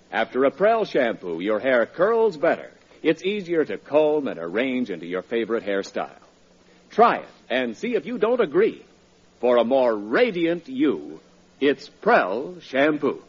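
Male radio announcer for vintage ad read, emphatic and polished, highish animated pitch, muffled recording. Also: breathy edge. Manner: polished, emphatic sales delivery. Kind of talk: 1940s radio advertising narration.

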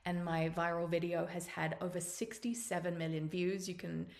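Earnest Australian woman, fairly low voice. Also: steady tone, vocal fry. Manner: earnest, encouraging, drawn-out phrasing. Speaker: podcast host. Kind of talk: motivational monologue.

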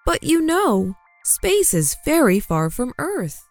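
A woman voicing a gentle, smiling grandmother in a children's story. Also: sits high, sing-song, takes it slowly, no room sound, faint music behind, pitched above the performer's own voice, full character voice. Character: gentle kindly grandmother, space lover.

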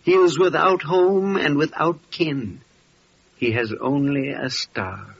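An actor playing a grave, fatherly wise man with a gravelly, husky voice and solemn manner in an old radio drama. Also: on the high side, heavily acted. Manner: solemn, measured pronouncements. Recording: muffled vintage radio recording.

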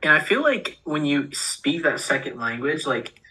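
A man's voice: fairly high pitch, big pitch swings, a little slow, pushed and bright, husky, low-quality recording. A young man from the United States, a college student guesting on a podcast, talking in an easygoing, hesitant way with many fillers. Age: young adult. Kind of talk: casual podcast chat. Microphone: remote call.

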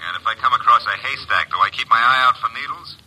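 A man playing a wisecracking young assistant to a detective in a 1940s radio drama, with a husky voice. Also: medium pitch, fast, pushed and bright, rough recording. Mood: brisk.

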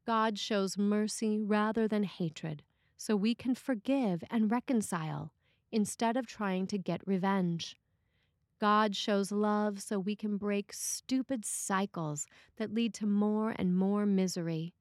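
A woman priest reading a scripted sermon in a measured, deliberate way. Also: mid-range voice, no room sound, studio quality.

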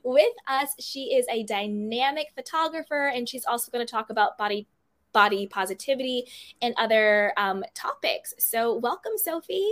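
A woman's voice: on the high side, lively intonation, normal speed, a bit bright. A woman hosting a podcast interview, talking in a chatty, upbeat way with plenty of "like" and "you know". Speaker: podcast host. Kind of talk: casual interview chat.

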